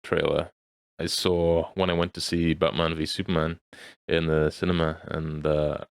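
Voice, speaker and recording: A man's voice: a bass voice, flat delivery, normal speed, vocal fry, dry recording. A man giving his opinions in a casual, blunt way as a podcast host. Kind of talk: casual opinionated group chat.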